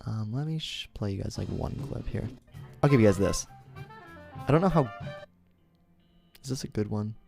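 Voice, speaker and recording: A man's voice: lowish voice, expressive, normal speed, gravelly, pauses often, over music. A young man streaming, chatting casually and rambling, often correcting himself and laughing.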